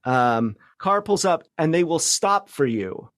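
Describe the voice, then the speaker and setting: A man's voice: fairly high pitch, big pitch swings, slow pace, fairly bright tone, dry recording. Middle-aged man, dry close-sounding podcast guest. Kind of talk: casual conversational storytelling.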